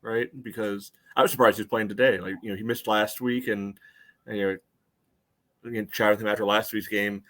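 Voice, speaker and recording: A man with a somewhat low voice chats as a sports podcast host. He sounds conversational and measured, qualifying his points as he casually breaks things down. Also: fairly even intonation, a bit bright.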